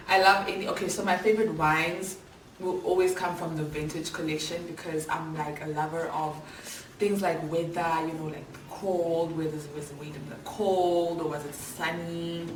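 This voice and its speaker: A woman's voice: on the low side, steady tone, normal speed. A South African woman of twenty-five answering viewer questions in a casual, candid vlog chat, full of slang and fillers. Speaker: vlogger. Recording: echoey room, voice a little far from the mic, clear background noise.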